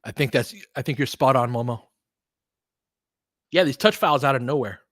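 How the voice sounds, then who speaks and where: A man's voice: mid-range voice, moderate pace, fairly bright tone, no room sound, studio quality. A man hosting a live basketball stream, giving casual, analytical commentary and chatting with viewers in between short reactions to the play.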